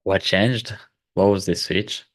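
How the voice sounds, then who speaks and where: A man with the flu hosting a casual video call, relaxed and given to laughing and asking thoughtful questions. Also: deep voice, slow, no room sound. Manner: relaxed, laughs often, asks reflective questions. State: sick with flu. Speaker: host.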